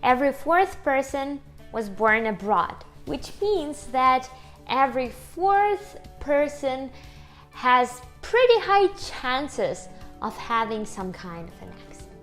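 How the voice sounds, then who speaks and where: A woman's voice: sits high, very animated, takes it slowly, a bit bright, soft background music. A woman with a strong Ukrainian accent, chatting casually to the camera in her home kitchen, upbeat and laughing often as she talks to her viewers. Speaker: YouTuber.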